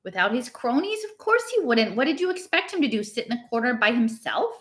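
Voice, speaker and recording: A woman voicing a brusque, indignant innkeeper's wife who snaps her words in an expressive storybook reading. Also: a little high, brisk, voice pushed up. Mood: sharp, indignant. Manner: brusque, snapping. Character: plump, sharp-tongued innkeeper's wife with fiery indignation. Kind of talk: expressive storybook read-aloud.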